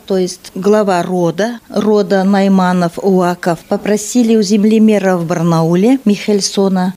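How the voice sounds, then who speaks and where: A middle-aged woman, a school director, explaining history in an interview, unhurried with small hesitations. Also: mid-range voice, a little mellow. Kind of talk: interview answers. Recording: faint background noise.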